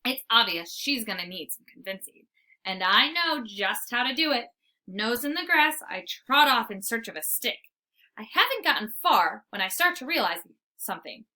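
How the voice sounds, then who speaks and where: A woman reading a children's storybook aloud in an expressive, theatrical way. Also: on the high side, moderate pace, rough recording. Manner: animated, theatrical. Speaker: storybook reader. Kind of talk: expressive read-aloud narration.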